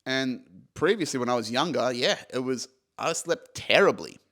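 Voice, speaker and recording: A man's voice: mid-range voice, lively intonation, normal speed, fairly bright tone. Rambling, foul-mouthed man in his thirties. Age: 30s. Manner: rambling, swears freely, self-mocking. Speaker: podcast host. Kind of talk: casual solo podcast monologue.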